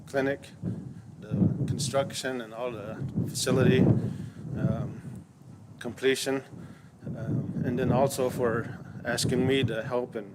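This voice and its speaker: Pria yang berbicara ragu dengan suara bising, diikuti doa berirama. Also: male voice, medium pitch, takes it slowly, very noisy. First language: Lakota. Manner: hesitant, many fillers, then steady chanting prayer. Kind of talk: halting ceremonial remarks and prayer.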